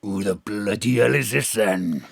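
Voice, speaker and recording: An actor playing a menacing, slangy gangster with a rough, gravelly voice and a South Wales Valleys accent in an audio drama. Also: male voice, on the low side, fairly bright tone, no room sound, studio quality, full character voice. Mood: gloating menace. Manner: slangy, threatening, drawn-out delivery. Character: menacing male Welsh gangster with a gun.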